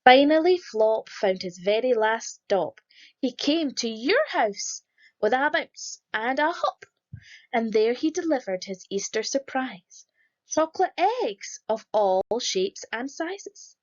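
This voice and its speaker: A woman reading a rhyming children's picture book aloud in a lively, sing-song storytelling manner. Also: on the high side, slow, highs cut off. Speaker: storybook reader.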